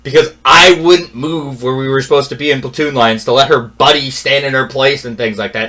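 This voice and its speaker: Exasperated young man ranting a story. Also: medium pitch. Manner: exasperated, repetitive, swings from mumbling to loud. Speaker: vlogger telling a personal story. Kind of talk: casual storytelling rant.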